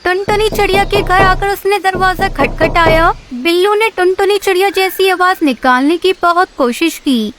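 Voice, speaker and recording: A woman's voice: very high voice, moderate pace, hardly any pauses, over music. A woman narrating a scripted story for children.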